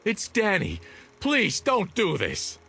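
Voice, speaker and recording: A man's voice: high voice, huge pitch swings, slow pace, creaky, bright, forceful tone, slightly rough, full character voice. An actor playing a worried, caring male friend in an old television drama, hesitant and urgent in manner. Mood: pleading. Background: faint music.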